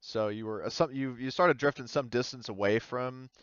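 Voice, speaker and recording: A man talking casually as a tabletop game master, halting as he thinks aloud through the rules. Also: mid-range voice, clean tone, no room sound, muffled recording.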